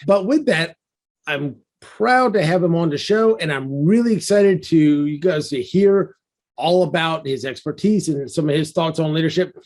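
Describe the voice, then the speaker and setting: A man hosts a podcast, talking with his guest in a friendly, easygoing way and dropping in quick asides. His voice is pitched a little above most men's. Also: a little mellow. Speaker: podcast host. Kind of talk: casual interview hosting.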